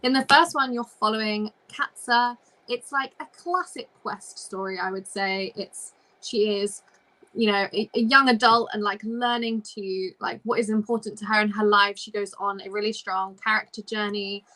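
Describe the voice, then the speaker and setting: Woman doing a chatty book livestream, really bright voice, informal and loose. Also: mid-range voice, fairly even intonation, moderate pace, poor audio. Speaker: host of a book discussion live show. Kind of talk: casual book chat on a livestream.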